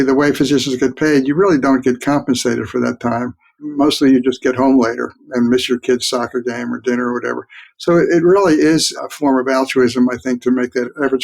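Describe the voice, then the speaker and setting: Man, mature, mid-pitched, expert interviewee, reflective, plain-spoken, measured explanations. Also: gravelly, darkish tone, low-quality recording. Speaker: guest expert, physician researcher.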